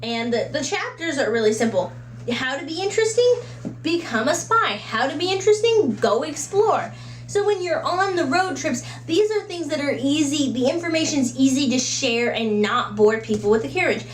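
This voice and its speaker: A woman with a high-pitched voice talks casually, like a vlogger recommending books to viewers. Her delivery is conversational, with small acted-out asides. The room adds noticeable echo, and a faint hum sits behind her. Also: expressive, normal speed. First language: English. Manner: conversational, acts out little imagined quotes and asides. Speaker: book reviewer giving recommendations. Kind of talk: casual, chatty video book recommendations.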